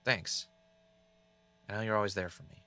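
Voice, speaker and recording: A man playing a brooding, paranoid podcaster in an audio drama, speaking in a halting, introspective, confessional way. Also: on the low side, steady tone, background noise, close to an everyday voice. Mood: earnest, wistful. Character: brooding, paranoid male podcaster searching for answers. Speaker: podcast host character and narrator. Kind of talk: audio drama monologue and dialogue.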